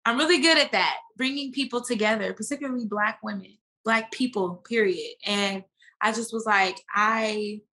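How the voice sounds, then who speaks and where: A woman guest talking casually and informally in an interview, slangy and animated as she tells stories and quotes herself. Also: mid-range voice. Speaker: podcast guest, actress and business owner.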